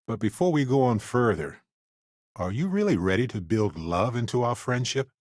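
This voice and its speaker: An actor playing a wry, adventurous, warm-hearted space explorer companion in a video game, speaking in an expressive, conversational way. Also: male voice, mid-range voice, moderate pace, no room sound, clean studio sound. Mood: earnest, reflective.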